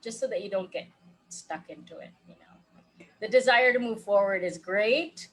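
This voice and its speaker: A woman life coach with a loud voice, talking casually in an interview and laughing often. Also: medium pitch, normal speed, rough recording. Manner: laughs often, speaks of holding space.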